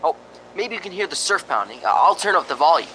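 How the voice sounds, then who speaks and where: An actor playing a chatty, slightly nervous ghost-hunting radio host in a radio drama, hesitant and conversational. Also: male voice, fairly high pitch, sing-song, fast, background noise. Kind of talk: radio drama acting, on-air commentary.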